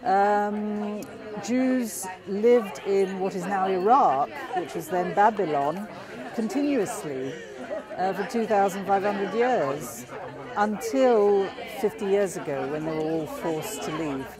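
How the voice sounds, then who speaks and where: Woman explaining stuff in an interview, slow n hesitant, really noisy crowd behind her. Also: mid-range voice, expressive. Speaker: interviewee explaining community history. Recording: loud crowd chatter in busy hall. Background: crowd at a party.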